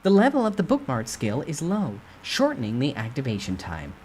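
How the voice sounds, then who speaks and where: A man voicing an impersonal game system that reads out notifications in a crisp, formal way for a storytime reading. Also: mid-range voice, wildly swooping pitch, normal speed, a little mellow, slight background noise. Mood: formal announcing.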